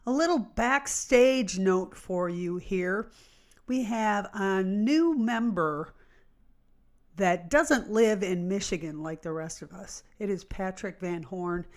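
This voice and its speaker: Woman host doing a casual intro, medium pitch, really unhurried and chatty. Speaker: host and theater company director. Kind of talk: informal spoken introduction.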